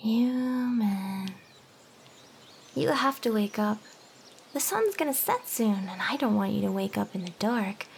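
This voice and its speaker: A woman voicing a hyper, smitten young female harpy in an anime ASMR roleplay, chirpy and coaxing, with soft whispered turns. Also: medium pitch, lively intonation, measured pace, gravelly, long pauses, slightly rough, full character voice. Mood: flustered, relieved.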